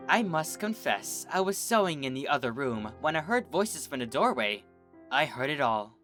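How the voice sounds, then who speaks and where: A man voicing a refined, elegant grown mare who speaks softly and politely. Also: on the high side, sing-song, normal speed, faint music behind. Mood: tender. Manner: refined, posh, soft-spoken.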